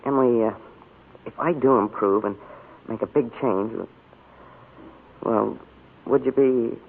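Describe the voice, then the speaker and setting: An actor playing a shy, awkward young groom in a 1940s radio drama, hesitant and stammering. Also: male voice, medium pitch, unhurried, gravelly, slight background noise. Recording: tinny old radio broadcast.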